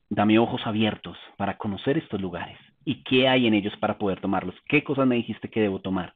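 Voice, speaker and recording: Male preacher, husky, calm teaching tone, tinny phone-line sound. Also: on the low side. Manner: calm, explanatory, asks rhetorical questions. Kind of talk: teaching sermon.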